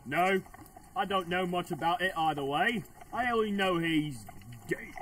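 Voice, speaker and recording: A man's voice: high voice, unhurried, fairly bright tone. An actor playing a callous, droll Victorian businessman in a radio drama. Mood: offhand. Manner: offhand, droll. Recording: distant mic, faint background noise.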